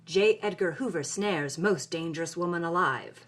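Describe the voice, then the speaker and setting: An actress playing an amused investigator, explaining events in conversational storytelling narration. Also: female voice, fairly low pitch, normal speed, lightly acted.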